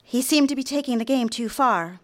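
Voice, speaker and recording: Casting: actress as eager young career girl secretary, polite, earnest, slightly high voice, radio play. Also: fairly quick, lightly acted. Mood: reflective. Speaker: radio drama actor. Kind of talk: live radio drama acting with some narration.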